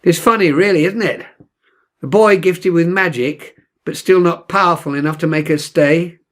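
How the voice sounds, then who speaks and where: A man's voice: fairly high pitch, normal speed, voice pushed up, lightly acted. A man voicing a heartbroken, raging teenage boy with magic, bitter and pleading, in a storybook reading. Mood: bitter regret. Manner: bitter, pleading, self-questioning.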